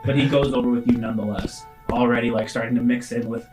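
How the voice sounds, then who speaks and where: Man, game master, medium pitch, improvised dramatic narration, descriptive, tabletop role-play, quiet music bed. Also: level tone, fast.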